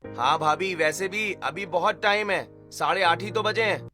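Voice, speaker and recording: Man acting a high-voiced young brother-in-law. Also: normal speed, bright, forceful tone, faint music behind. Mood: demanding. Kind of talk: Hindi audio story dialogue acting.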